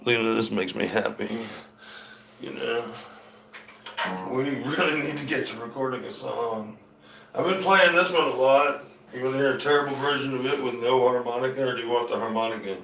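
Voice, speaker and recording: A man's voice: mid-range voice, moderate pace, creaky, recorded from a distance, background noise. A man with a light Southern accent, chatting loosely to viewers during his own music and painting show, trailing off and restarting as he talks. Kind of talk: rambling casual chat to viewers. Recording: echoey room, voice a bit off-mic, guitar music.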